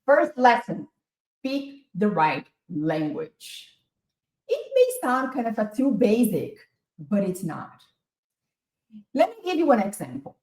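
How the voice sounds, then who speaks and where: A middle-aged woman from Brazil with a Brazilian Portuguese accent, a Portuguese native speaker, giving an online masterclass in an energetic, enthusiastic and talkative way. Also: on the high side, takes it slowly, pauses often, roomy sound, rough recording. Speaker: masterclass presenter.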